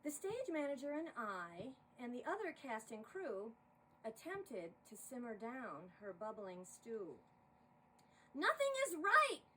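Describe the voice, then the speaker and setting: A woman with a high voice reads rhyming verse as a dramatic storyteller. She takes her time and swings her pitch widely. The mic sounds a little distant, with faint noise. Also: unhurried. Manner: dramatic, expressive. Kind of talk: theatrical verse narration.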